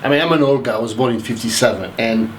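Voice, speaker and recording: This older man from Haiti talks in a loose, rambling way, circling back and restarting his sentences. His pitch sits at a middling level. Faint noise hums behind him. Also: fast talker, low-quality recording. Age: 60s. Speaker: interviewee, math professor. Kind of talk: casual interview.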